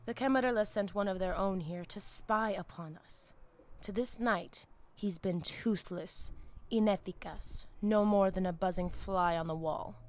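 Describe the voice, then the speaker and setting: An actress voicing a zealous vampire priestess in a video game, with a Spanish accent and a solemn, commanding manner that mixes in Spanish. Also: female voice, mid-range voice, a little slow, lightly acted. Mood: contemptuous, commanding. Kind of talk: video game dramatic dialogue.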